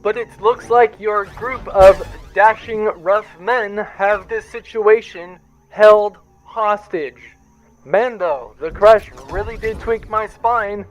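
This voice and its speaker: A man's voice: high-pitched, slow, low-quality recording. A man playing a hapless, sarcastic accountant aboard a privateer spaceship, talking in an exasperated, wordy way for a comic sci-fi audio drama. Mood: nervous, stilted.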